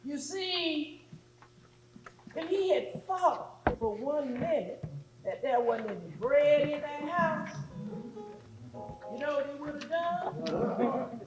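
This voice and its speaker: A woman's voice: high-pitched, animated, slow, pauses often, over loud music. A woman preaching a call-and-response church sermon, emphatic and repeating phrases for effect. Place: large echoing room with an audience. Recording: echoey hall with congregation responding.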